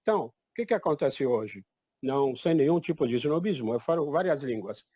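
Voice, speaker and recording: A man's voice: medium pitch, animated, a little fast, mellow, husky, no room sound. An older man born in Egypt, giving an emphatic, declarative personal testimony at a public talk. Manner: emphatic, declarative, repeats "definitivamente". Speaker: event participant.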